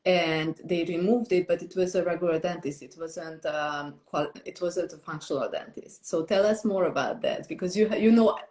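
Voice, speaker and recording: A woman's voice: on the low side, moderate pace, few pauses. Enthusiastic woman in an echoey room. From Romania. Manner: warm, enthusiastic, with frequent fillers and laughs. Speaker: interviewer, book compiler. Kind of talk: casual interview chat.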